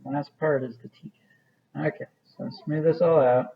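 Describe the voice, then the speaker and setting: A man's voice: fairly high pitch, steady tone, moderate pace, gravelly, muffled recording. A man teaching an art class, walking students through a clay project in a casual, rambling way with many fillers. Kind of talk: classroom demonstration.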